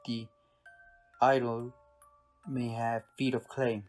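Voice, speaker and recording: A man's voice: mid-range voice, flat delivery, slow pace, close to an everyday voice. A man as a portentous narrator over faint music. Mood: ominous, measured. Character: solemn anthology narrator introducing an outwardly idyllic family. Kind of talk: dramatic scripted narration. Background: soft mallet music.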